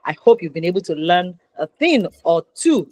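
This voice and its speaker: A woman's voice: on the low side, very animated, moderate pace, a little mellow, no room sound. A woman with a Nigerian accent hosting a conversational interview show, encouraging and emphatic, repeating points for stress. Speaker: talk show host.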